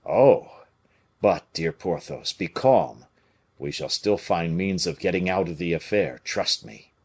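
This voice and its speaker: A man reading an audiobook, voicing a grave, measured conspirator bishop who speaks in a solemn, placating way. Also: low-pitched, a little mellow, slightly rough. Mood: soothing. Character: Aramis, grave, smooth-talking conspiring bishop.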